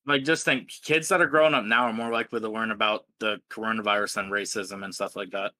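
A blunt, profane man in his early twenties talks casually on a podcast. His voice is lowish but bright and forceful, recorded dry and close. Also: steady tone, quick pace, no room sound. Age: 20s. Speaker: podcast host. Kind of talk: casual chat.

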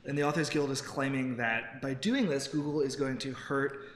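A man's voice: medium pitch, fairly even intonation, moderate pace. A man in his twenties co-hosting a tech news show, explaining things informally and rephrasing as he goes. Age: 20s. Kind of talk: casual explanatory chat about tech news. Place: open-plan office, indoors on a couch. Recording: roomy, echoey office, faint background noise.

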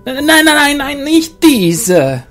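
A man voicing a fat, sweaty, irascible male magister who shouts in shrill, furious outbursts. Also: big pitch swings, slow, soft background music, full character voice. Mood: furious ranting.

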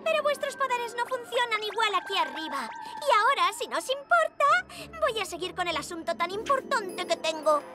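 A woman's voice: extremely high pitch, big pitch swings, moderate pace, music in the background. A woman with a Castilian Spanish accent voicing a scheming, haughty young girl villain in a cartoon dub. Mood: gloating. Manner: haughty, theatrical.

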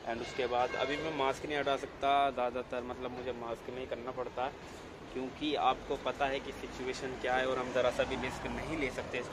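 Young man vlogging over noise. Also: mid-range voice. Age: young adult. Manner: chatty, slangy, mixes in English words. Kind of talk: casual vlog chat. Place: city pavement, mall and parking area. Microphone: phone at arm's length. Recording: noisy handheld recording, traffic and ambience.

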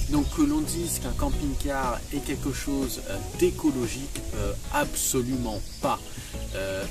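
A young man sits outside by his camper van and talks to a camera set a little way off, so he sounds somewhat distant. He rambles with plenty of hesitations but keeps pressing his point. Music plays clearly underneath. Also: medium pitch. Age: young adult. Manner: rambling with many fillers, insistent. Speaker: vlogger. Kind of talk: casual to-camera vlog. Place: outdoors beside a camper van. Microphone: camera a few feet away.

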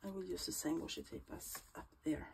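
A woman with a low, gravelly voice and a stuffy cold chats her way through a crafting session in her small craft room. She drifts between easy talk and half-whispered murmurs to herself. The recording carries steady background noise and clicks. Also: brisk. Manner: chatty, often murmuring half-whispered asides while working. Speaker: crafting vlogger. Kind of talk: casual crafting walkthrough.

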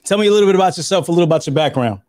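A man's voice: on the high side, lively intonation, very fast, darkish tone, no echo, studio quality. A man in his thirties hosting an interview over a video call, upbeat and prone to repeating himself. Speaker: podcast host. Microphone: webcam on a video call.

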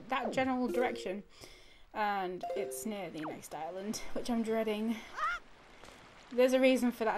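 A young woman with a stuffy nose from a cold, giving rambling casual commentary over a video game, full of fillers and restarts. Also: on the high side. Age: young adult. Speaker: let's play gamer. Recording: audible background noise.

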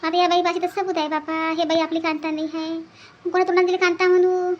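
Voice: very high voice, level tone, fast, muffled recording. A performer voicing a grieving old village mother in a cartoon, plaintive and repetitive, with a rural Vidarbha Marathi accent. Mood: sorrowful longing. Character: grieving old village mother longing for her lost daughter. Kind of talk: melodramatic cartoon dialogue.

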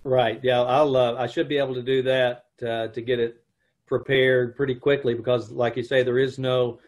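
Middle-aged man, even-toned and hesitant, casual talk through dull call audio. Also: medium pitch, moderate pace. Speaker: troupe member who edits the videos. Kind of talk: casual chat. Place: indoor room at home, video call.

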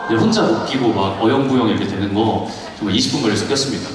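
A man's voice: lowish voice, rapid-fire, noisy background. A young man in his twenties, an idol group leader, hosting playful stage banter at a concert, teasing and laughing often. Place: large arena stage. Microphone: handheld mic. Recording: echoey arena from far off, loud crowd. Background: loud cheering crowd.

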